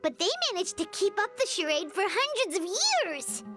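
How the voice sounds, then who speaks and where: A woman voicing a tiny, perky fairy girl companion in an anime-style video game, with a childlike, squeaky voice. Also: big pitch swings, moderate pace. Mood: excited. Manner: perky, eager, exclamatory. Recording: music behind the voice.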